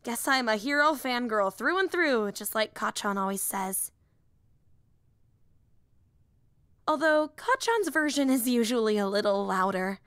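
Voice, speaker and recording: A woman voicing an excitable, nerdy young female superhero in an audio roleplay, earnest and rambling, often apologizing and interrupting herself. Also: a little high, moderate pace, clear, resonant tone, no room sound, clean studio sound. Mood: sheepish fangirling. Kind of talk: roleplay audio drama acting.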